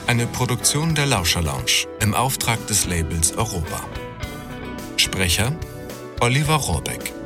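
A man working as an announcer, reading a scripted credits list in a measured way. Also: fairly low pitch.

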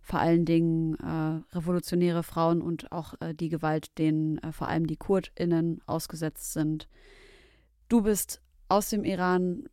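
A woman hosts a podcast and asks her questions earnestly, pressing her point when she needs to. Her voice is on the low side and mellow. The recording is dry and close. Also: level tone, unhurried, no room sound. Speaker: podcast host. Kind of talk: interview conversation.

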